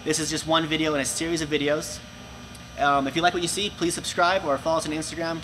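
A young man presenting for a company, giving clear step-by-step instructions in a large indoor room. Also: on the high side, fairly even intonation, fast, background noise. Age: young adult. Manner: clear step-by-step explaining with hand gestures. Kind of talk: instructional how-to video.

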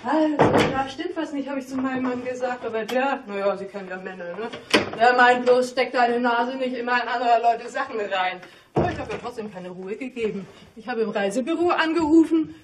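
A woman plays a gossipy neighbour in a radio drama, confiding and insistent. Her voice sits fairly high, indignant about other people's business. There is clear noise behind her. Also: brisk, close to an everyday voice.

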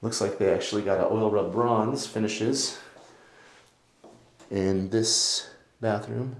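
A man giving a relaxed, chatty walkthrough of a house for sale, moving between rooms and the backyard as he films. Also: fairly low pitch, slow pace. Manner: relaxed, chatty, lots of filler words. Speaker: real estate agent giving a home tour. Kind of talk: casual walkthrough narration. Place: house, indoors and outdoors.

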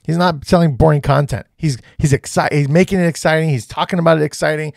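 A man in his thirties talks over a video in a small home studio, keen and coaching, often doubling his words. His voice sits a bit high. The sound is close and dry. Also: quick pace, vocal fry, dry recording. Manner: energetic, repeats himself, coaching tone. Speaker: real estate content creator reacting to a video. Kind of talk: casual reaction commentary.

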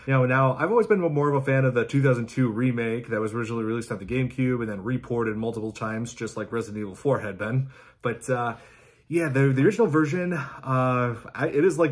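A man in his thirties talks casually as a video host reacting to clips. His mid-pitched voice is husky, and his delivery is amused and halting, breaking easily into chuckles. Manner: halting, amused, laughs while talking. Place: small indoor room at home.

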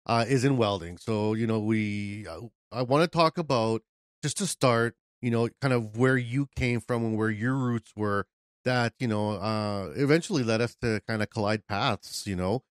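A man's voice: on the low side, normal speed, clear, resonant tone, dry recording, clean studio sound. A man in his forties hosting a podcast over a video call, joking and chatting loosely with plenty of fillers. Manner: jokey, chatty, many fillers. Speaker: podcast host. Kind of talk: casual interview chat. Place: home studio, video call. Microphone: studio mic on boom.

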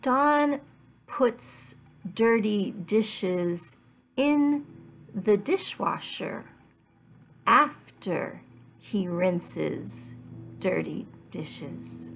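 A middle-aged woman gives a language lesson for learners. Her delivery is extremely slow and deliberate, broken into short phrases with clear pauses. Her mid-pitched voice often drops into a gravelly creak. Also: lively intonation. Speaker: English teacher. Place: small living room at home, indoors.

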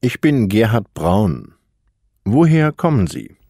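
A man reads scripted dialogue slowly and carefully. His voice sits low, and its pitch moves widely. The recording is dry. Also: sing-song, dark tone, no room sound. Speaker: course speaker voicing a dialogue partner. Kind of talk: slow scripted dialogue reading.

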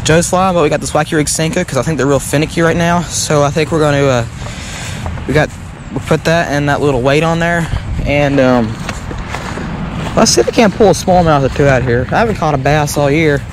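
A man's voice: a little high, a little fast, noisy background. A man chatting casually and folksily for an outdoor fishing vlog, half to himself, recorded on a body-worn action camera. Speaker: angler vlogger. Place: outdoors on a grassy riverbank.

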